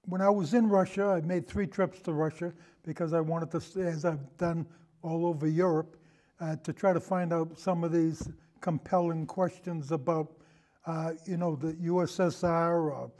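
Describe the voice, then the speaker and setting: A man's voice: on the high side, fairly even intonation, normal speed, darkish tone. An older man in casual, reminiscing conversation, hesitant and prone to wandering off on digressions. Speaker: guest, amateur historian and old time radio podcaster.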